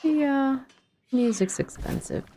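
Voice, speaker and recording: A woman's voice: high-pitched, lively intonation, slow pace, warm tone. A woman streaming a game with casual chat, musing to herself in short fragments over music. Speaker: streamer playing an online fantasy game. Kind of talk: casual gameplay chat, thinking aloud.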